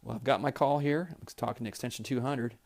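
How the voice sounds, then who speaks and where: A man's voice: medium pitch, fairly even intonation, fast, vocal fry. A man giving a step-by-step, plain-spoken instructional tutorial as a trainer demonstrating office equipment.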